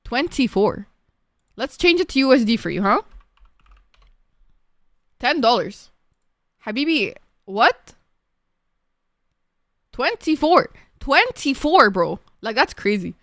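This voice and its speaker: A young woman from Egypt with an Egyptian accent, chatting casually with viewers while streaming, excitable and freely swearing. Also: on the high side, slow pace, pauses often, dry recording, studio quality. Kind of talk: casual chat while gaming.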